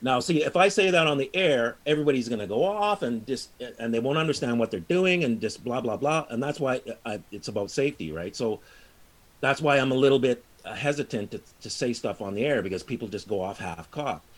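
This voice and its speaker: A man in his early sixties explaining things informally as an interview guest, in a halting way that repeats words. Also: mid-range voice, lively intonation. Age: early 60s. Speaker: interview guest, movement teacher. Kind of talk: casual explanatory interview talk.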